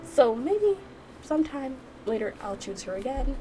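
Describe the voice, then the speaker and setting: A woman's voice: high voice, sing-song, a little slow, mellow, a little hiss or hum, poor audio. A woman giving casual gameplay reaction commentary as a let's play host. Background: background music.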